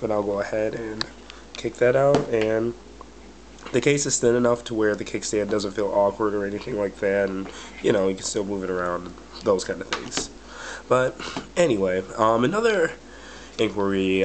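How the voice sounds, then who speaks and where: A man's voice: fairly low pitch, a little hiss or hum, poor audio. A man with a bad cold and a slightly cracky voice, casually reviewing a product and rambling with lots of fillers. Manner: rambling, many fillers like "but anyway" and "or whatever".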